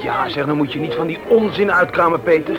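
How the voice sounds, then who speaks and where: A man's voice: fairly high pitch, brisk, natural voice. A young man playing a skeptical, mouthy gang member in a radio play. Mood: skeptical, mocking. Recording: dull old radio recording, crowd behind.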